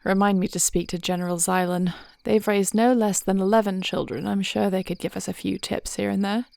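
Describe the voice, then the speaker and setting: A woman playing a devoted warrior princess in an intimate fantasy audio roleplay, speaking in measured, formal phrases with a reassuring, thoughtful manner. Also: medium pitch, level tone, vocal fry, darkish tone, dry recording, natural voice. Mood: calm, thoughtful. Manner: measured, articulate, formal old-fashioned phrasing.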